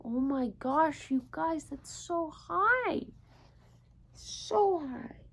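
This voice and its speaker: Female children's storyteller, unhurried and fairly high, crackly edge, wide-eyed wonder, chats to the kids. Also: expressive, vocal fry, fairly bright tone. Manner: gushing wonder, addresses listeners as children. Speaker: storyteller reading a picture book aloud. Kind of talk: children's read-aloud with asides to young listeners.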